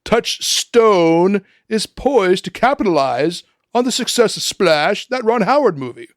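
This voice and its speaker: Man as an earnest, high-voiced deputy executive. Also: big pitch swings, unhurried, dry recording, studio quality. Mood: patient, correcting. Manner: hesitant, polite, gently corrective. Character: earnest, decent, patient male deputy executive. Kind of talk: comic radio drama acting.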